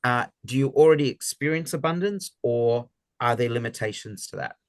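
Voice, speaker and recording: A man's voice: mid-range voice, normal speed, no echo, studio quality. A man leading an interactive group session on a video call, encouraging and affirming, often restarting his words. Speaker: workshop facilitator.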